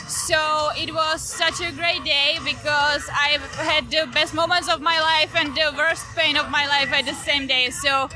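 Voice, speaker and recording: A woman from Czech Republic, an athlete telling lively stories about her race. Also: high voice, unhurried, hardly any pauses, poor audio. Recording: music playing behind.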